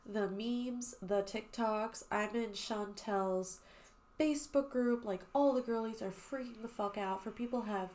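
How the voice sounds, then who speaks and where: A woman's voice: a little high, unhurried, a little mellow. A young woman hosting a podcast, chatting casually with lots of fillers and swearing, her voice a little nasal and congested. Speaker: podcast host. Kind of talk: casual chat.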